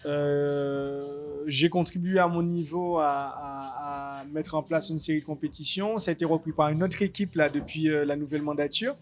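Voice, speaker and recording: A man, director of a dance school, explaining competitions in halting sentences with many restarts. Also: on the high side, fairly even intonation, faint music behind. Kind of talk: interview answers.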